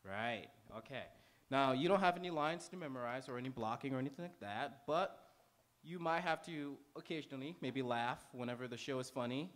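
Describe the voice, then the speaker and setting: Casual, halting male stage host. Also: medium pitch, a bit bright. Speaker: host warming up a live theater audience. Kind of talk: informal pre-show announcement to an audience. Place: on stage in an auditorium before a live audience.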